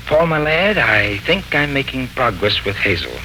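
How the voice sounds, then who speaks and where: Earnest, bright-voiced lovestruck radio suitor. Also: male voice, mid-range voice, sing-song, normal speed. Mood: anxious, hopeful. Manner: florid, hesitant, earnest. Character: hearty, anxious, lovestruck male suitor. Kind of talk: 1940s radio serial acting. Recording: noisy, bright 1940s radio recording.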